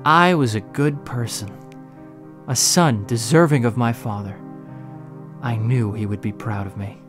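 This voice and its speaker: A man's voice: mid-range voice, a little slow, soft background music, lightly acted. A man acting a young slum hero who tells his own story in an audio drama, earnest and emotional. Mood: reflective, earnest. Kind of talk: first-person audio drama narration with acted dialogue.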